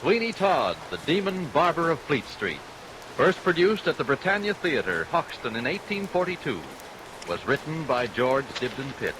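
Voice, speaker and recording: Male radio announcer: medium pitch, lively intonation, formal and emphatic, period broadcast delivery. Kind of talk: 1940s radio scripted credits announcement. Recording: hissy vintage radio recording, rain over it.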